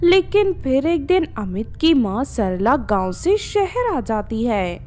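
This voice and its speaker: A woman narrates a children's tale in a high, very animated voice. Her pace is slow and deliberate, as a scripted storyteller. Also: faint music behind.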